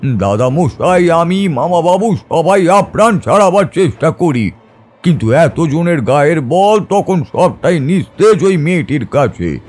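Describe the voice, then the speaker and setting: A male narrator tells a horror tale with theatrical suspense. His voice sits fairly high and swings widely in pitch, with a faint music bed underneath. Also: very animated, unhurried, a little mellow, husky. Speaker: story narrator. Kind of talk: dramatic scripted horror narration.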